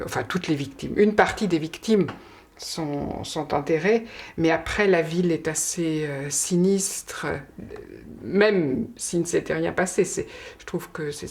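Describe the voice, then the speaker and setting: A woman's voice: deep voice, vocal fry, a little mellow. A woman answering casually in an interview, searching for words and correcting herself.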